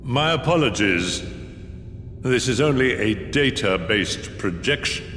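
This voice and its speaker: A scheming older man from a video game as a male actor plays him, cold and sardonic. He speaks very slowly, with wide melodic swings and theatrical condescension, his voice ringing in long reverb. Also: mid-range voice, lively intonation, lightly acted. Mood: mocking. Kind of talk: video game cutscene acting. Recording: echoey long reverb, music underneath. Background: game score music.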